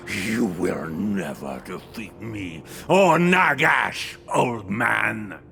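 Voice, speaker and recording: A man's voice: lowish voice, expressive, extremely slow, creaky, pushed and bright, soft background music, heavily acted. A man voicing a vengeful undead knight spirit in a game, arrogant, menacing and grandiose in delivery. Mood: scornful boasting. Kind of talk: video game cutscene acting.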